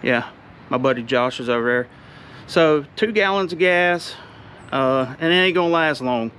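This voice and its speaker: A man, chatting excitedly in a casual vlog about his race truck, outdoors and then driving in the cab. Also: a little high, normal speed. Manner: excited, chatty, drawling shop talk. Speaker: car builder vlogger. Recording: loud engine noise throughout.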